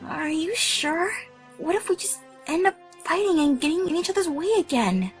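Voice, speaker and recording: A woman's voice: extremely high pitch, animated, moderate pace, soft background music. A woman voicing a hesitant, practical young female cartoon pony in a fan audio drama, unsure and questioning. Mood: doubtful.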